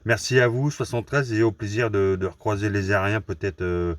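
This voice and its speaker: A man from Normandy with a fairly low voice and a dry, close sound. He talks casually over amateur radio, polite, often repeating his phrases. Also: fairly even intonation, brisk, dry recording. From Normandy, France. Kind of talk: casual radio contact chat. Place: mobile radio station.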